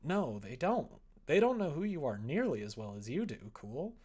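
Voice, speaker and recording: A man's voice: on the high side, big pitch swings, moderate pace, darkish tone, breathy edge. A man voicing the earnest, apologetic manager who leads a visual novel, tentative and encouraging. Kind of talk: visual novel read aloud.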